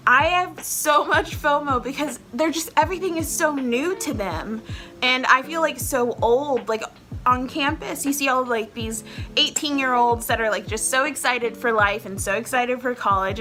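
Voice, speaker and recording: A young woman in her twenties talks casually to her viewers from home, rambling from thought to thought with a steady stream of "like". Her voice sits a touch high and rings bright and crisp, with soft music playing faintly behind her. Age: 20s. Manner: chatty, rambling, heavy use of "like" fillers. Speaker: vlogger host. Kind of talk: casual chat to camera.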